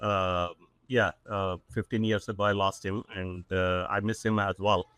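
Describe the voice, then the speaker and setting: South indian guy in his 40s, podcast guest, lots of uh and restarts, crisp dry mic. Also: low voice, level tone, fairly bright tone, dry recording. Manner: halting, many fillers and restarts, earnest. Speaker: guest, IT company CEO. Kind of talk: casual interview talk.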